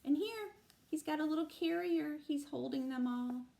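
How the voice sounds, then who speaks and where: A woman reading a picture book aloud to young children as a storytime librarian, expressive and emphatic, with explanatory asides. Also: high voice, normal speed, vocal fry. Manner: animated, emphatic, with explanatory asides to little listeners.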